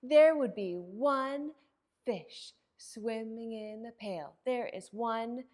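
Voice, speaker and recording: A woman's voice: on the high side, slow, darkish tone. A woman hosting a children's story time, speaking in a singsong, encouraging way with simple words and an exaggerated, dramatic delivery. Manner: simple, encouraging talk aimed at young children.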